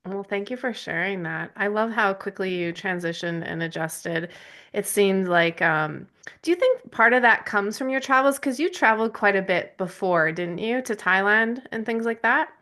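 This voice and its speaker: A woman hosting an interview, asking questions and warmly affirming her guest. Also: mid-range voice, moderate pace. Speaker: host. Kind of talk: casual interview.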